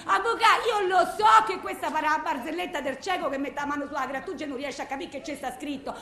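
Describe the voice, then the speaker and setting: A high-pitched, distraught wife with a thick Roman way of speaking, pours out her case to her lawyer. She rambles, repeats herself and justifies everything in agitated, colloquial bursts. The radio monologue rings in an echoey room. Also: quick pace, pushed and bright. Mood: aggrieved, self-justifying. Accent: Roman Italian. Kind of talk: theatrical radio monologue, framed as phone messages. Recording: roomy, echoing sound.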